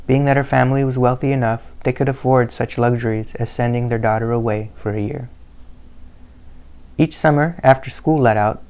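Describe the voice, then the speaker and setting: A man narrating a family history in a steady, measured storytelling manner. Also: medium pitch, smooth.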